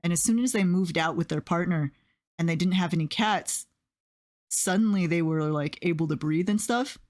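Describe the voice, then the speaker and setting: A young woman with a fairly low voice chats on a stream. She tells loose, rambling stories and fills her sentences with little hesitations. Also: normal speed. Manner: rambling storyteller with many fillers and soft laughs. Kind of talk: casual chat while drawing on stream.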